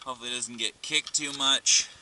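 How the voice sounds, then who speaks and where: A man's voice: mid-range voice, fairly even intonation, fairly quick, bright, forceful tone. A man giving a casual hands-on tutorial as a vlogger, informally thinking aloud while he works inside a car. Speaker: vlogger demonstrating a car radio install. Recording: noisy car interior with clicks.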